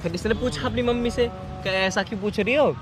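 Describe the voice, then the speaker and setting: A man's voice: high voice, brisk, over music. A man of twenty chatting in a casual, teasing way, repeating himself as he explains. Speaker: prank video host. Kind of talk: casual chat, teasing banter.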